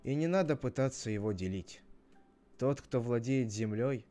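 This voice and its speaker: A man narrating a scripted parable as a storyteller, in a measured, expressive manner. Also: medium pitch, faint music behind.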